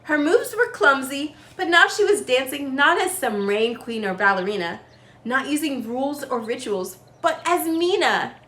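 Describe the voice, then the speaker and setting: A woman storyteller reading a children's book aloud in an expressive style, leaning on key words. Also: sits high, normal speed, reverberant. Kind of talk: animated children's story narration.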